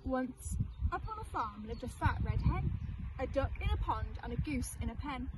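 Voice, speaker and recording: A woman reading a children's story aloud in an expressive, child-friendly storytelling manner. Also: sits high, moderate pace, heavy background noise. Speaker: storybook reader.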